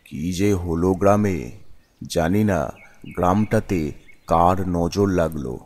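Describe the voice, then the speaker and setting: A man's voice: deep voice, takes it slowly, a little mellow, heavily acted. A man voicing an uneasy village shopkeeper who narrates a cartoon ghost story in a brooding, questioning manner.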